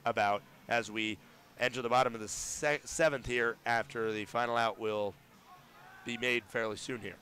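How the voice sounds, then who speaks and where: Bright-voiced young play-by-play man. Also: mid-range voice, moderate pace. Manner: excitable, shouts big plays. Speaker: play-by-play announcer. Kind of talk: radio baseball play-by-play. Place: ballpark broadcast booth.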